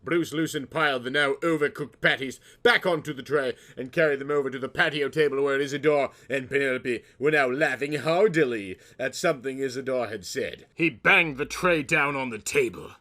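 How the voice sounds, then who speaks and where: A male narrator with a bright, forceful voice at a middling pitch. He reads a comic melodrama with mock seriousness and theatrical emphasis. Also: moderate pace, few pauses. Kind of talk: melodramatic comic story reading.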